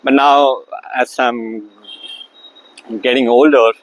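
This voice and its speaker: A man's voice: mid-range voice, steady tone, slow pace, rough recording. Man, thinking aloud. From India. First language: Tamil. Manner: thinking aloud with many fillers and restarts. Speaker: host. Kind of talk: reflective explanatory monologue. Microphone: camera set up across the room.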